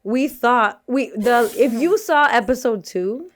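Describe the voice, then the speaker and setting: A woman's voice: a little high, expressive, slow pace. Bantering woman co-hosting a casual podcast. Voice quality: softer voice than a loud speaker's. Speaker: podcast co-host. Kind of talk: casual chat.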